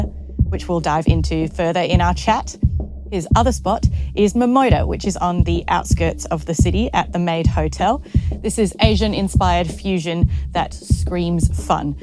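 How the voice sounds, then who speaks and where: Woman, podcast host, casual and friendly, loud music bed. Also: fairly low pitch. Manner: friendly, laughs along with guest. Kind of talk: casual interview chat. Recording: music playing behind.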